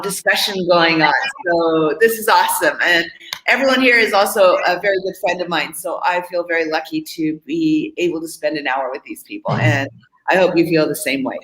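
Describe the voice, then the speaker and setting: A woman's voice: lowish voice, fairly bright tone. A woman from Oregon, a casual moderator and English coach, chatting relaxedly with self-corrections over a video call. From Oregon, United States. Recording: echoey room with faint noise.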